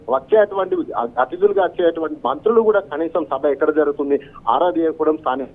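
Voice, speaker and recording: Over a thin, narrow phone line, a male field correspondent reports live. His voice is slightly high, and his unscripted delivery is wordy, halting and repetitive.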